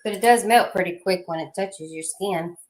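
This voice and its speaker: A woman's voice: on the low side, moderate pace. Woman, southern accent, casual livestream chat, folksy, laughs a lot. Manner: chatty, laughs often, folksy talk. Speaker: livestream co-host.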